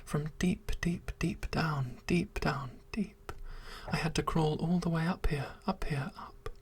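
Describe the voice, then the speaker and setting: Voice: mid-range voice, slow pace, husky, exaggerated voice. A reader in an airy whisper voicing a lovesick scaly monster that pleads and repeats itself. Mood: pleading. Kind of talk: whispered story reading.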